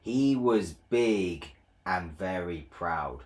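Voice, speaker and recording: A man narrating a children's read-along story in a measured, expressive way. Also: low-pitched.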